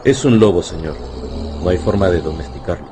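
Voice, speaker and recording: A man's voice: deep voice, a little fast, background noise, close to an everyday voice. A man playing a cautious, pessimistic assistant in a radio drama, deferential but full of warnings. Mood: doubtful. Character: cautious, pessimistic male assistant, respectful to his boss.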